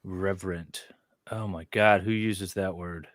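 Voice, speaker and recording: A man's voice: low-pitched, fairly even intonation, measured pace, fairly bright tone, no room sound, clean studio sound. A man in casual chat as host, reading a web page aloud and adding sarcastic, mocking commentary.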